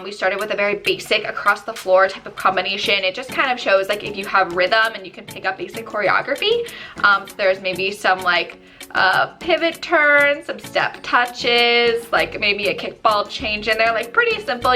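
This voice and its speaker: A woman in her twenties from New Jersey, slightly hoarse and losing her voice, telling a story in a chatty vlog full of ums and likes. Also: medium pitch, normal speed, sharp tone, faint music behind. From New Jersey, USA. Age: 20s. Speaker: YouTuber.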